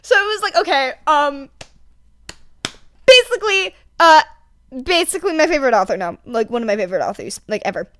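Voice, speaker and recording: A young woman with a high voice rambles in a casual, chatty way. She is excitable and emphatic, peppering her talk with slang and swearing as she addresses her listeners. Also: brisk, slight background noise. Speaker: podfic reader.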